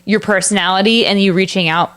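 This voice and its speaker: A woman's voice: medium pitch, steady tone, quick pace, a bit bright, clear, resonant tone. A young woman co-hosting a podcast in casual chat, talking loosely with lots of "like" and restarted sentences. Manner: filler-heavy, frequent "like", restarts mid-sentence. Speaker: podcast co-host.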